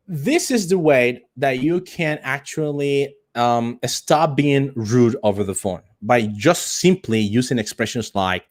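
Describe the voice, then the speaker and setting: A man teaches English to call center trainees in a live online class. He repeats his phrases and leans slowly and deliberately on the example sentences. His delivery is that of a teacher prompting learners to respond. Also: medium pitch, darkish tone.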